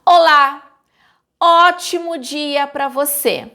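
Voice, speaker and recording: A Brazilian woman with a Brazilian Portuguese accent, hosting her channel and teaching the meanings of a common verb in slow, clearly articulated scripted narration. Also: sits high, pushed and bright.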